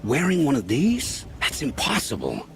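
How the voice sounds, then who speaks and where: An actor playing an uneasy, secretive scavenger in a fantasy game, halting and flustered as he speaks. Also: mid-range voice, wildly swooping pitch, creaky, fairly bright tone, slight background noise, heavily acted. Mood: surprised, wary.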